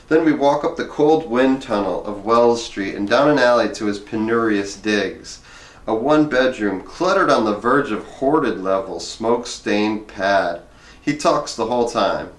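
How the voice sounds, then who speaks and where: A man's voice: fairly low pitch, reverberant, poor audio. A man reading a memoir aloud expressively, with casual asides and theatrical emphasis on key words. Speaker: reader of a memoir.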